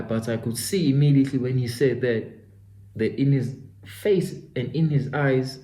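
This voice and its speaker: A young South African man in his twenties, a native Zulu speaker, with a soft, mellow tone. He talks earnestly to the listener like a friend. His voice carries a roomy echo from a home studio. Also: medium pitch. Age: 20s. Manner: earnest, talks straight to the listener, calls him "my guy". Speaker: self-development vlogger. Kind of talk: motivational storytelling vlog.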